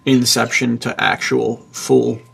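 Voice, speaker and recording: Husky, heavily creaky man chatting casually. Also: medium pitch, flat delivery, slow pace, fairly bright tone, poor audio. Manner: enthusiastic, slangy, says dude. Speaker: podcast co-host and publisher. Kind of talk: casual podcast chat.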